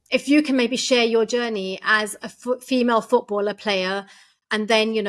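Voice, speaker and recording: A woman's voice: fairly high pitch, a bit bright. A woman hosting an interview podcast, welcoming her guest and asking questions with encouraging reactions. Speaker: podcast host.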